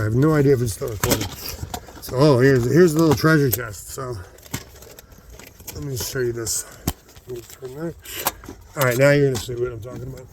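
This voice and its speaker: A middle-aged man chatting informally to viewers in a rambling vlog, halting as he thinks aloud and repeating words for emphasis. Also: mid-range voice, background noise. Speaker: vlogger, hobby rockhound.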